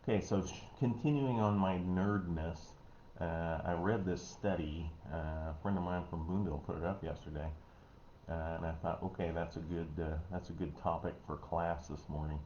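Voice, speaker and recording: A man with a Missouri American accent, giving a casual health talk online in a folksy, rambling way, often clearing his throat. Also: low voice, steady tone, moderate pace, mellow. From Missouri, United States. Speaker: host, health educator. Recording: dull, roomy, noisy home recording.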